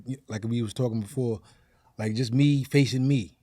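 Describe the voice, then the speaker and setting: An American man with a medium-pitched voice in a dry, close recording. He speaks as a podcast guest, loose and slangy, working out his thoughts aloud as he goes. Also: moderate pace, no room sound. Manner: slangy, conversational, thinks out loud. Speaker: stand-up comedian, podcast guest. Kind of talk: casual podcast interview.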